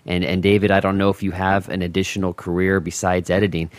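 A man with a very low voice talks as a podcast host in a casual interview manner. The sound is dry and close, with little room around it. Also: level tone, fast, dry recording.

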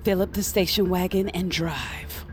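An actress playing a worldly, protective ally who knows magic, speaking with deliberate, cautioning emphasis in an audio drama. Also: female voice, fairly low pitch, a little mellow, faint music behind, close to an everyday voice. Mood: urgent warning.

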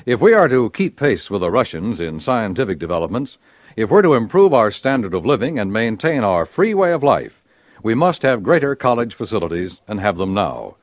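A man's voice: medium pitch, no echo. A male announcer reading an earnest, formal public service appeal on an old radio broadcast.

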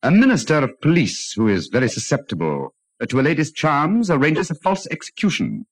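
Urbane British detective with swooping delivery. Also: male voice, on the high side, sing-song, fairly quick, no room sound. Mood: explaining, assured. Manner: urbane, theatrical, hesitating drawl. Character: clever, dry, self-assured male detective. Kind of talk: 1940s radio drama acting.

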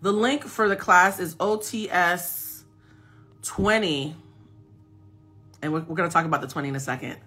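A woman's voice: fairly low pitch, normal speed, pauses often, sharp tone, faint music behind. A woman in her forties hosting a casual live stream, talking emphatically to viewers and reading out their comments. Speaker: host of a live stream and self-mastery coach. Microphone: phone.

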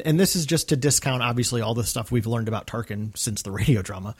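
Mid-pitched man, explanatory podcast co-host. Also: a little mellow. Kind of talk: casual explanatory commentary.

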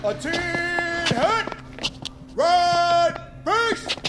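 An actor playing an army cadence caller, shouting a call-and-response marching chant in an audio drama. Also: very high voice, lively intonation, very slow, background noise, exaggerated voice. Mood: rowdy, loud. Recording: echoey, chanting crowd, loud music.

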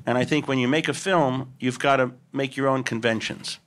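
A man's voice: medium pitch, fairly even intonation, moderate pace, fairly bright tone, slight background noise. A man answering audience questions at a film panel, musing aloud and answering his own rhetorical questions. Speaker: film director at a Q&A.

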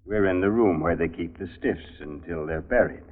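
An actor playing a grimly resigned, vengeful dying man in a 1940s radio drama, speaking slowly and deliberately. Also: low voice, in a large hall. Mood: grim, gloating. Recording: muffled noisy 1940s radio recording.

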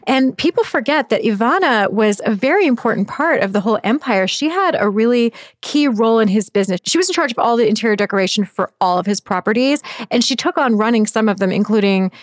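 A woman, a magazine editor, talking in an animated, chatty way as a guest in a news interview. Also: fairly high pitch, fast, hardly any pauses, no room sound, studio quality. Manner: animated, chatty, frequent "like" and "I mean".

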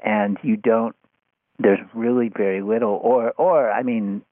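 Male interview guest, deep register, telephone-band audio, reflective and halting, conversational delivery with frequent restarts. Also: fairly even intonation, dry recording. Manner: thoughtful, halting, often restarts phrases. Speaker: interview guest, writer of an article on podcasts. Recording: phone-like narrow-band sound.

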